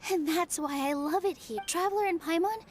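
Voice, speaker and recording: An actress playing a sweet, earnest young female dancer in a video game, gentle and polite. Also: extremely high pitch, brisk. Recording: music behind the voice.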